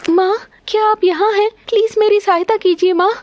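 Very high, frightened young daughter. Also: female voice, fairly even intonation, normal speed, darkish tone, no echo. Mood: frightened, pleading. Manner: plaintive, pleading. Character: frightened, pleading young snake-girl daughter, tearful and timid.

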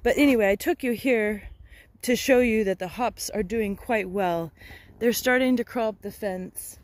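A woman's voice: mid-range voice, normal speed. A middle-aged woman with an American accent gives a casual, meandering garden tour, speaking hesitantly into a handheld phone outdoors. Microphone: handheld phone at arm's length. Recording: clear outdoor background noise.

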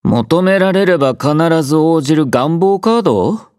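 Young anime game soldier: aloof yet quietly caring, unhurried delivery with lively swings in pitch, close dry sound. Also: male voice, no room sound. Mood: tender, sincere. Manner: languid, dryly teasing, understated. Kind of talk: Japanese anime-style game character voice lines.

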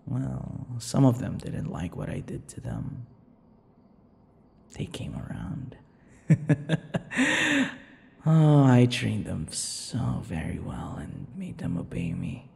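An obsessive, sadistic male vampire lover for an audio roleplay. The voice is very gravelly and slow, sliding into whispers and swinging wildly in pitch. He sounds vain and possessive, with long reverb around every word. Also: huge pitch swings, a little mellow, exaggerated voice. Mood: possessive, proud. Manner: slow, breathy whispers and giggling, drawn-out words. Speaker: roleplay voice actor. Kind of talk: intimate ASMR boyfriend roleplay.

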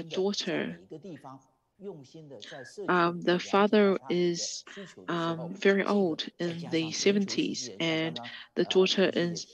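A woman giving a live English interpretation of a talk, halting often with ums, uhs and restarts. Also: on the low side, lo-fi sound. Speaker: simultaneous interpreter. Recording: second voice speaking faintly underneath.

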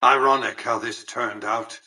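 An actor voicing a haughty, calculating tycoon in a video game, with a formal, clipped and condescending manner. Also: male voice, mid-range voice, fairly even intonation, measured pace, sharp tone, breathy edge, distant mic, exaggerated voice. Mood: bitterly ironic. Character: haughty, calculating male tycoon and casino ruler. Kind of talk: video game dialogue acting.

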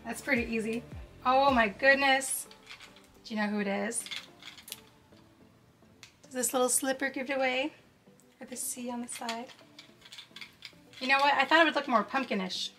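Young woman host, high and bright, excited toy unboxing, faint music under. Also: normal speed, long pauses. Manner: gasping, excited exclamations over toys. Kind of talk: cheerful toy unboxing narration. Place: indoors at a tabletop set.

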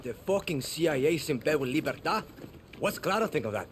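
A man's voice: a little high, expressive, brisk, slight background noise, close to an everyday voice. Man as curt, cynical rebel soldier. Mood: suspicious. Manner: curt, dry.

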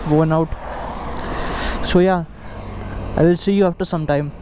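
A young man, talking in a relaxed, rambling way as he records a casual vlog from his motorbike outdoors. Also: fairly high pitch, a little slow. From India. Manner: relaxed, rambling, many fillers. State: shivering from cold. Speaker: motovlogger. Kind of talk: casual vlog narration while riding. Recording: loud wind and road noise. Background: loud traffic and engine noise.

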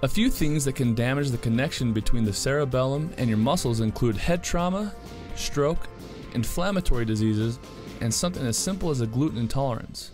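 Male host, scripted explainer narration, medium pitch, guitar music bed. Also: lively intonation, brisk.

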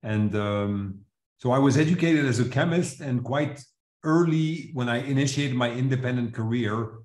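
A man from Switzerland who grew up with French and English delivers an academic lecture at a middle pitch. He explains in a measured way, restarting now and then, and his voice comes over a video call with plenty of room echo. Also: darkish tone. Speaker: seminar speaker, chemist. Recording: roomy echo, live online seminar.

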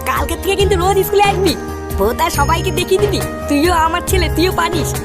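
A brash cartoon father, played by a man, rattles along in a very high, pitched-up voice. He scolds and boasts in crude slang, with loud music underneath. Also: quick pace. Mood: stern, threatening. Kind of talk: comic cartoon dubbing.

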